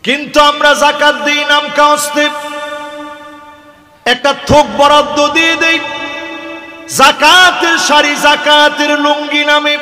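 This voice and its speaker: A Bangladeshi man preaches with fiery, exhorting emphasis. His voice is very high and piercingly forceful, and he spaces his phrases slowly. It rings through a PA with heavy echo. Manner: emphatic, exhorting, with call-and-response questions. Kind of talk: fiery sermon to a congregation. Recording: echoey PA with long reverb.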